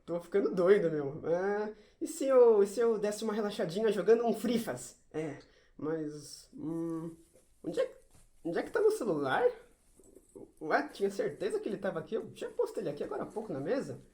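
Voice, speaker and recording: A woman's voice: mid-range voice, very animated, normal speed, mellow, natural voice. A woman acting as a sulky, easily flustered schoolboy in an amateur audio drama, stammering with exasperation. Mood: puzzled. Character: whiny, sulky schoolboy who hates science, easily flustered.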